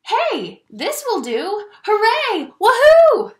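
A woman's voice: very high-pitched, wildly swooping pitch, extremely slow, pitched above the performer's own voice, full character voice. A woman voicing a desperate, panicked little male creature from a children's picture book, pleading and exclaiming dramatically. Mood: elated. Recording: echoey room.

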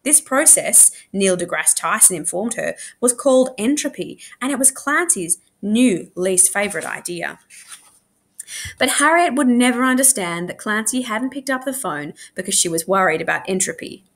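A woman reading a children's novel aloud in an animated, expressive storytelling manner, like a school librarian. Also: mid-range voice, moderate pace. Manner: animated, acts out dialogue.

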